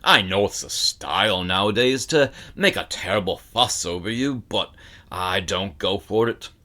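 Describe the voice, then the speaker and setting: A man voicing a gruff, grumbling older shop owner who dislikes children, in a storybook reading. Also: on the low side, measured pace, sharp tone. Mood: grumpy ranting. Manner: growling, grumbling, brusque and scornful. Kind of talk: audiobook character acting.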